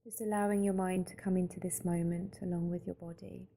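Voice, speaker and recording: Very mellow woman guiding a meditation. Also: on the low side, gravelly. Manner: calm, unhurried, gentle instruction with long pauses. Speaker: meditation guide. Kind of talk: slow guided mindfulness meditation narration.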